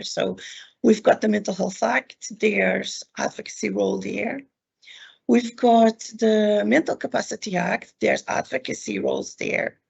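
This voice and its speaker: Woman, croaky, husky voice, hesitant, presentation talk, dull lo-fi audio. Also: medium pitch, a little mellow. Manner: nervous, hesitant with many ums. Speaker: advocate. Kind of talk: presentation at an online event. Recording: dull lo-fi sound.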